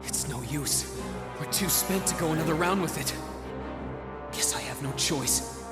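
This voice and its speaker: An earnest young male team leader from a video game, played by an actor. His voice sits a little high for a man, and loud music plays behind him. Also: a little slow, lightly acted. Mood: grim, resolute. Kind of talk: English video game voice acting.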